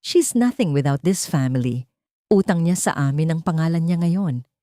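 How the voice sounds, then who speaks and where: A woman's voice: deep voice, animated, moderate pace, warm tone, dry recording. Woman voicing a cold, contemptuous husband, dry recording. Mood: dismissive. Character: scornful, dismissive cheating husband, cold and arrogant.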